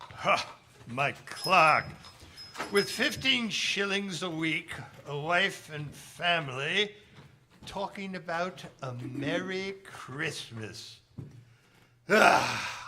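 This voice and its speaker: An actor in a stage radio play voicing a cantankerous old miser with a gruff, scornful, muttering manner. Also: male voice, fairly high pitch, very slow, sharp tone, a little hiss or hum, exaggerated voice. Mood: grumbling. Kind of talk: stage radio drama acting.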